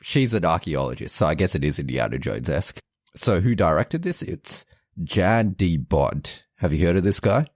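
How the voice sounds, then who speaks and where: Male podcast co-host, extremely deep, animated intonation, dry close mic. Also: normal speed, dry recording. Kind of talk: casual movie review chat.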